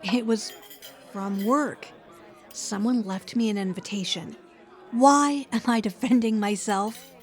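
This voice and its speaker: A nervy, worn-out young woman, played by an actress, tense and muttering, slow, faint music behind. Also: mid-range voice. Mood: weary. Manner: tense, weary, muttering to herself. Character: anxious young woman fighting her inner voices. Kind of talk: radio play acting.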